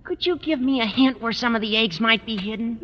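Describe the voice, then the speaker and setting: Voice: medium pitch, fairly quick, a bit bright, close to an everyday voice. A performer playing an eager, earnest teenage schoolboy who gushes politely, in old radio comedy style on a dull vintage recording. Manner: gushing, wordy, over-polite. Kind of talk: 1940s-50s radio comedy acting.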